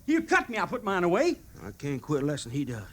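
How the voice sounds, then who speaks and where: High-voiced scrappy cavalry trooper. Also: male voice, huge pitch swings, fairly quick. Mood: defiant. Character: scrappy, stubborn rough-hewn cavalry trooper. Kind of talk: classic old-time radio western acting. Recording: noisy, horses and outdoor sound effects behind.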